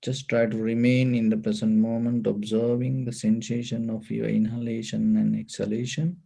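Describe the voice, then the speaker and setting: A man leads a guided meditation as a Buddhist teacher, giving calm, deliberate instructions in short phrases. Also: medium pitch, vocal fry, breathy edge.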